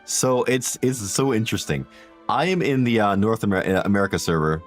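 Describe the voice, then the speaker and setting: A man with a deep voice streaming a game, chatting casually and rambling to viewers with slang. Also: brisk. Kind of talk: casual gameplay livestream chat. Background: game music.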